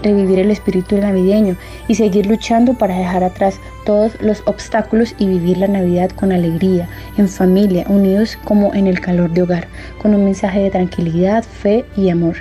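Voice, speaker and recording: Woman, earnest, heartfelt, very dark mellow voice. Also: mid-range voice, fairly even intonation, a little fast, hardly any pauses, faint music behind. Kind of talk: interview answer.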